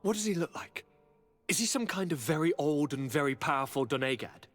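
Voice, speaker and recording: A charming young nobleman from a video game, courtly and affectionate. His highish voice swings expressively with theatrical eagerness. It rings through a reverberant hall. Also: expressive, fairly quick, fairly bright tone. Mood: fascinated, eager. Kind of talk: dramatic video game voice acting. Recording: reverberant hall, music underneath.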